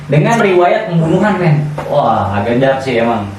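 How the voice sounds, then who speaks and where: A man's voice: medium pitch, sing-song, normal speed. A man with a Jakarta Indonesian accent chatting casually as a podcast co-host, in slangy, chummy style. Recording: very echoey room, distant mic, faint noise.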